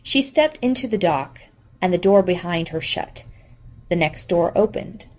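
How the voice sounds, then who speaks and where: A woman narrates a novel for an audiobook. Her voice is a little lower than most women's, and she reads scripted prose. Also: expressive, unhurried, a little mellow.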